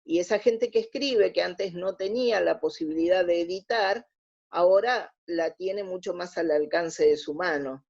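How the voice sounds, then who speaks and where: Mellow, low-voiced Argentine woman. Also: normal speed, gravelly, slightly rough, highs cut off. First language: Spanish. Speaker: interview guest, scriptwriter. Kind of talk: conversational radio interview.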